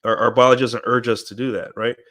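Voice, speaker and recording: Man, congested from a cold, nasal stuffed-up voice, middle pitch, rambling livestream chat, desk mic. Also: fairly even intonation, fast talker, fairly bright tone. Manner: rambling, keeps asking "right?". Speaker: streamer. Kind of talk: casual livestream monologue. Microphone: desk mic through an audio interface.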